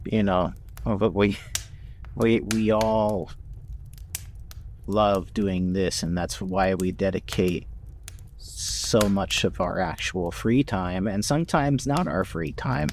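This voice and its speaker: A man with a fairly low voice talks casually and earnestly as a podcast cast member. There is some background noise with clicking. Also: takes it slowly. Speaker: podcast voice actor. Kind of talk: casual chat.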